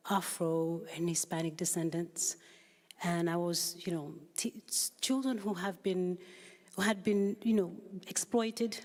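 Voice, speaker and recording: Low-voiced woman speaking haltingly in a hall. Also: darkish tone. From Haiti. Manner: halting, searching for words. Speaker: panel speaker. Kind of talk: unscripted personal account. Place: large hall at a public forum. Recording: echoey hall reverb.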